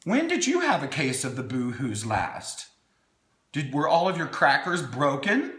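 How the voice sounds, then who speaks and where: Guy doing a kids storytime w/ wry asides, higher bright voice, drawn out and theatrical, kinda echoey. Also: huge pitch swings, measured pace. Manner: theatrical, talks as if to small children. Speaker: online host reading a children's book. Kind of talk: playful storytime reading with chatty asides. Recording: echoey room.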